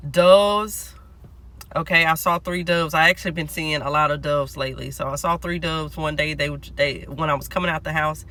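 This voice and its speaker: Nasal, bright-voiced man reading tarot. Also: on the high side. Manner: chatty, keeps checking in with "okay?". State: nasal, getting over a cold. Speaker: tarot reader. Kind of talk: collective tarot reading to camera.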